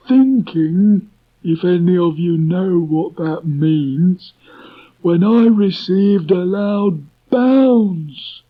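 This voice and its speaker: A man's voice: high voice, pitched above the performer's own voice, exaggerated voice. A man voicing a gloomy old grey donkey who drags out his complaining words. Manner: slow, drawn-out, sulky complaining. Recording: dull lo-fi recording.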